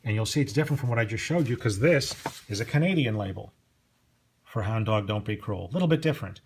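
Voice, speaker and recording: A man's voice: fairly low pitch, expressive, fast talker. Casual man, noisy recording. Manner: chatty, digressive, anecdotal. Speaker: record collector presenting collection. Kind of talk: casual unscripted show-and-tell. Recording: clear background noise, clicks.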